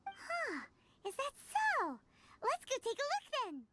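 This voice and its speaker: A woman voicing a tiny, excitable female fairy-like companion in a game, with an eager, blunt, exclamatory manner. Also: very high voice, slow pace, very noisy, full character voice. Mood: excited. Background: game music.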